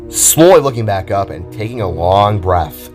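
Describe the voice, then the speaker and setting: A man's voice: fairly low pitch, animated, moderate pace, a little mellow. Man narrating a dramatic story over music. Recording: music behind the voice.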